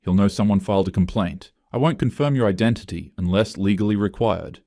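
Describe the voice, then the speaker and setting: A man's voice: on the low side, brisk, dark tone, no room sound, studio quality, close to an everyday voice. A man voicing a self-assured, cold female human resources investigator in a narrated story, clipped and officious. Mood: firm, reassuring.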